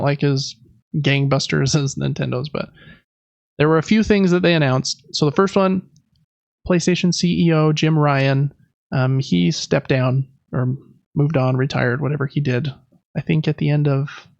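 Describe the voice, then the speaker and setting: A man's voice: fairly high pitch, creaky, no room sound, dull audio. A man hosting a podcast, casually recapping news in a conversational way with explanatory asides. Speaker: podcast host.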